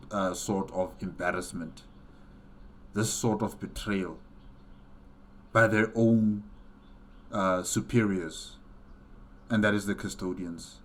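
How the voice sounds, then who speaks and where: A man hosting a reaction video, commenting off the cuff in an earnest, halting way and repeating phrases for emphasis. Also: on the low side.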